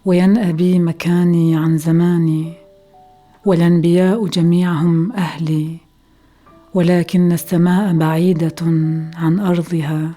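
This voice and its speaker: A woman's voice: lowish voice, level tone, very fast, warm tone, soft background music. A woman reciting poetry.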